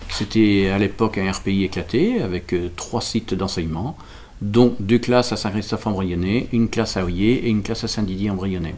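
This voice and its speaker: Low-voiced man explaining, searching for words. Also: moderate pace, a little mellow. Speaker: local representative explaining a village school project. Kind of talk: explanatory interview answers.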